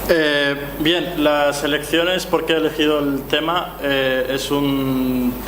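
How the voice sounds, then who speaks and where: A young man from Málaga, born in Amman, answering academic questions off the cuff, haltingly, repeating words as he thinks. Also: a little high, level tone. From born in Amman, raised in Málaga. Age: young adult. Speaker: doctoral student presenting research. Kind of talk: unscripted answers at a colloquium. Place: echoey room at a colloquium. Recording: echoey room with clear background noise.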